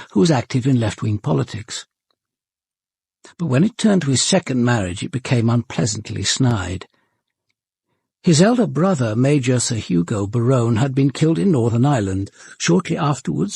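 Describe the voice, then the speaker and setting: An actor playing a grave, authoritative senior police detective in a British radio drama, speaking in a measured, articulate British accent with dry understatement and a husky voice. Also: male voice, mid-range voice, darkish tone, no room sound, exaggerated voice. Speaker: lead actor and narrator. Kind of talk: radio drama acting and narration.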